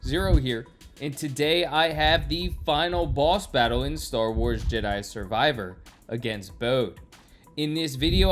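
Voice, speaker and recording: A man's voice: mid-range voice, a bit bright. A man hosting a gaming video, explaining play in a plain tutorial narration. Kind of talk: scripted gameplay tutorial narration.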